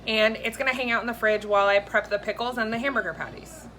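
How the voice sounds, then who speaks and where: A woman's voice: on the high side, fairly even intonation, quick pace, pushed and bright, rough recording. A woman in her thirties giving chatty, explanatory recipe talk in a casual vlog from her home kitchen. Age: 30s. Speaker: cooking vlogger. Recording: faint background noise in kitchen.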